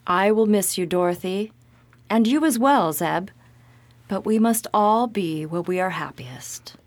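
A regal good witch, voiced by a woman for a radio play. She is stately, formal and stern but caring, with wide swings of pitch in a close, dry sound. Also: lively intonation, a little slow, dry recording, close to an everyday voice. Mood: gracious. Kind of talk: radio drama acting.

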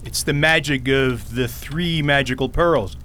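A man's voice: medium pitch, measured pace, bright, forceful tone, a little hiss or hum. A man playing a pompous, eccentric storytelling king in a fantasy radio drama, with grandiose theatrical delivery. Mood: earnest, self-important.

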